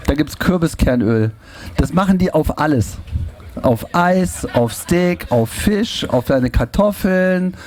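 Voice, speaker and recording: A man's voice: fairly high pitch, slow. A man hosting a podcast on stage before a live audience, chatting casually and joking a lot. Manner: joking, laughs often, lively delivery. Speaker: podcast host.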